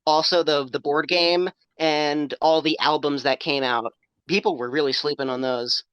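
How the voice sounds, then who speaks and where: A teenage boy who is a guest on a casual podcast. He sounds knowledgeable and matter-of-fact. His voice is a little higher than average, smooth and clear, recorded close with no room sound. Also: fairly even intonation, moderate pace, a bit bright, no room sound, poor audio. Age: teens. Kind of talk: casual group chat.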